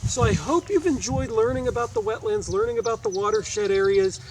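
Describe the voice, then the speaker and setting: A man's voice: high-pitched, animated, fairly quick, a little hiss or hum, poor audio. An American man reading aloud as a teacher, explaining things in an instructive way and checking that listeners follow. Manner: instructive, asks questions and checks understanding. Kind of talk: educational read-aloud with explanatory asides.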